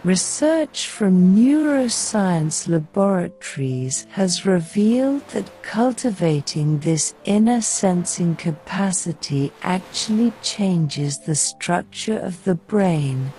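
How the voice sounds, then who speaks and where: Very slow, mellow woman guiding meditation. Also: on the low side. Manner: gentle, slow, soothing, measured phrasing with pauses. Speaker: meditation guide. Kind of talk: guided sleep meditation, scripted narration. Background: soft music.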